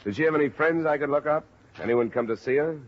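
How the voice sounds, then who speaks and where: A man's voice: a little high, fast, close to an everyday voice. An actor playing a wry, businesslike male insurance investigator in a 1950s radio detective drama, with dry, clipped delivery. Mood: probing. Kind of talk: 1950s radio detective acting and first-person narration. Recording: muffled old radio recording with music.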